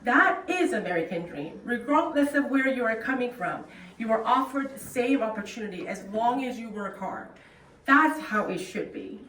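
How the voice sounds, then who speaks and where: A woman's voice: fairly high pitch, expressive, moderate pace. A middle-aged woman from South Korea with a Korean accent, giving a plain-spoken, earnest speech as a guest speaker. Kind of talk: speech at a club meeting. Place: meeting room. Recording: echoey room, distant mic, faint noise.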